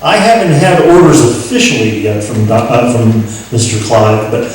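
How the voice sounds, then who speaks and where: A man's voice: medium pitch, moderate pace, natural voice. A man playing a folksy, obliging racehorse trainer in an old-time radio comedy, polite and a bit hesitant. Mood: puzzled, obliging. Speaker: radio play actor. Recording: very echoey hall, distant, faint noise.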